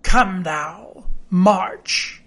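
A woman's voice: lowish voice, extremely slow, a bit bright, full character voice. A woman voicing a sinister old blind beggar man from a storybook, cruel and commanding, shifting between sing-song wheedling and sneering orders.

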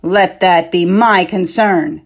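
A woman's voice: fairly low pitch, takes it slowly. A woman reading a story, voicing a domineering, scheming king who speaks harshly. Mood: commanding. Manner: commanding, harsh.

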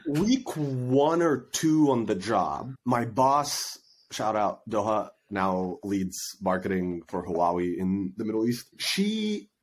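A man's voice: lowish voice, big pitch swings, slow. A man from Egypt telling stories casually as a podcast guest, in informal, slangy speech full of quoted asides. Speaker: podcast guest, product marketer. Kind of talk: casual conversational storytelling.

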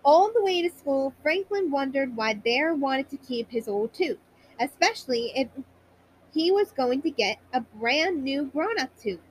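A woman storyteller narrating a children's bedtime story in a high-pitched voice. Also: expressive, a little slow, slight background noise, low-quality recording.

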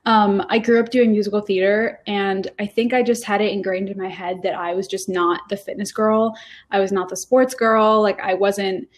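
A woman's voice: medium pitch, fairly even intonation, moderate pace. A woman in her early twenties from Rhode Island, a guest telling her story in casual, rambling talk full of fillers and restarts. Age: 20s. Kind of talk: casual interview chat.

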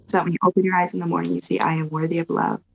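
A woman on a video call gently guiding a mantra meditation in a soft, slow, soothing way. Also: fairly low pitch, little pitch movement, creaky, breathy edge, low-quality recording. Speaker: guest leading a mantra exercise.

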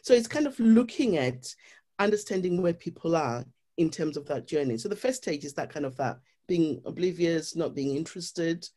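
A guest with a Zimbabwean accent speaks in an earnest, explanatory way, laying out ideas one stage at a time. The pitch sits in a middle range, and the talk is steady and sincere. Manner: earnest, explanatory, with frequent "you know". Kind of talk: reflective interview talk.

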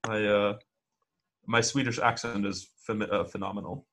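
A man's voice: lowish voice, steady tone, normal speed, fairly bright tone. A man co-hosting a podcast in casual chat, rambling with lots of fillers. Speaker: podcast co-host.

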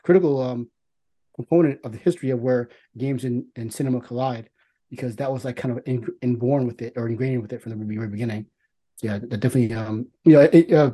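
A man with a dark, relaxed voice at a middling pitch. He chats as a podcast host, circling through his thoughts in a halting, self-correcting ramble. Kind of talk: casual podcast discussion.

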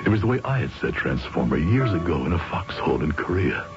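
A haunted, brooding veteran with a low voice that swings widely as he turns edgy and evasive. It is introspective radio drama acting. The recording is dull, with loud music. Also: big pitch swings, fast. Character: uneasy, brooding husband and haunted war veteran.

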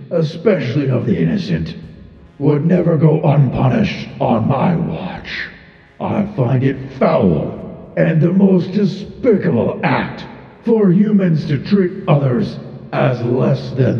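A man's voice: fairly high pitch, gravelly, full character voice. A man playing a proud god of war, cavernous reverb. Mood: solemn, stern. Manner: grandiose, formal, deliberate speech. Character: proud, stern male god of war, ancient and honor-bound. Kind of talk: theatrical in-character monologue. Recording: muffled, reverberant hall effect with music.

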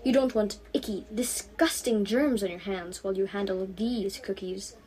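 A woman's voice: medium pitch, steady tone, normal speed, mellow, natural voice. A teenage woman voicing a caring, cheerful aunt with a coaxing, motherly manner in a storybook. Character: caring, cheerful aunt who looks after a girl.

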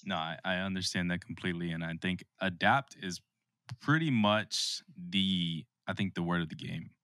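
A man's voice: low voice, moderate pace, bright, forceful tone, no room sound, studio quality. A man hosting a podcast, responding and asking questions in a conversational interview style.

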